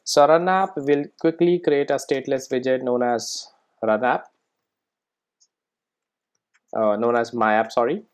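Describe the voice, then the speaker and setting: A man with a mid-pitched voice presents an informal programming tutorial. He explains step by step in a conversational way, often ending his sentences with a quick check-in question to the learner. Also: fairly even intonation, clear, resonant tone. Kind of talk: informal screencast coding tutorial.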